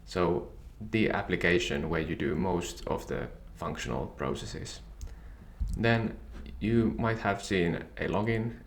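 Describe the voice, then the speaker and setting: A man whose first language is Finnish, calmly and methodically walking through a software tutorial. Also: low voice, slow pace, creaky, slight background noise. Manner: calm, methodical explaining. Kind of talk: screen-share software tutorial.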